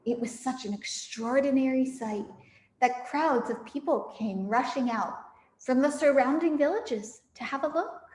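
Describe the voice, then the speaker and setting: A woman reading a children's story aloud in an animated, dramatic storytelling way. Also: high voice, measured pace. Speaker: storybook reader for a camp audience. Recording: echoey room with long reverb.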